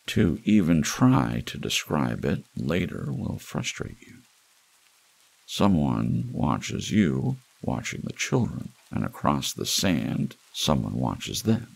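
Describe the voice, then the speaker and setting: A man narrating noir fiction as an ASMR audiobook, deliberate and dramatic, with a gravelly, husky voice. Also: a bass voice, darkish tone, poor audio. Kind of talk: scripted second-person noir fiction narration, read as ASMR. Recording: rain sounds behind the voice. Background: rain and wind ambience.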